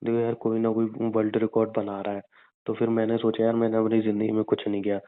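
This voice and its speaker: A man's voice: fairly low pitch, monotone, fast talker, clean tone. A young man bickering and teasing casually with his girlfriend over a phone call. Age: young adult. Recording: narrow tinny phone-line sound.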